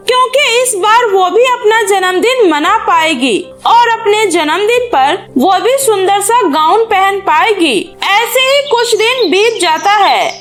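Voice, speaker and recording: A woman's voice: very high-pitched, a bit bright. A woman storyteller reading scripted narration for a cartoon story.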